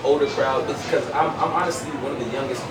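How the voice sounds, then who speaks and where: A man's voice: mid-range voice, steady tone, fast talker, crowd noise. A 22-year-old American man from Chicago, a stand-up comedian chatting casually and jokingly in slangy language as an interview guest. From Chicago, United States. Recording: echoey, distant, very noisy public space.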